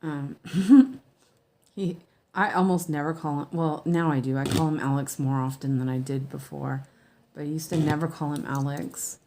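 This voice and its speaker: A woman chatting casually while stitching at home, rambling with lots of ums, a little hoarse from allergies. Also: low voice, fairly even intonation, normal speed, dark tone, a little hiss or hum. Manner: chatty, rambling, many ums and self-corrections. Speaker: cross-stitch streamer. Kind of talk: casual stitch-along chat.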